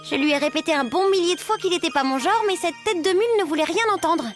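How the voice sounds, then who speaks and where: A woman playing a talkative, ambitious sixteen-year-old schoolgirl in an animated series, speaking emphatically and colloquially. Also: very high-pitched, quick pace, soft background music. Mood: amused, confiding.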